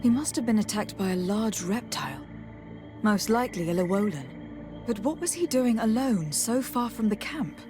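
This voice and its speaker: A composed, diplomatic noblewoman from a fantasy game, played by a woman, with a mid-range voice. Her delivery is measured and formal, and music plays clearly behind her. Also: medium pitch, expressive, close to an everyday voice. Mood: thoughtful, grave. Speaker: game character voice actor. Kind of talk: measured video game acting.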